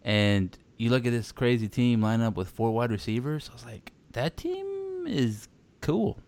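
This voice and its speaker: A man's voice: mid-range voice, very animated, measured pace, a little mellow. A man from Texas in casual sports chat, rambling with many restarts and fillers.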